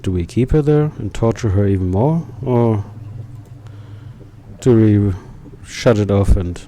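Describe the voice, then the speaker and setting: A man's voice: fairly low pitch, measured pace, mellow, slight background noise. A man live-streaming a story game, chatting with viewers as he plays.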